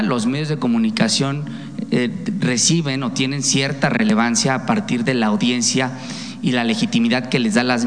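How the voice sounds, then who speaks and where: A Mexican man, a reporter putting a long, formal and somewhat hesitant question at a press conference. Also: medium pitch. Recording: long hall reverb.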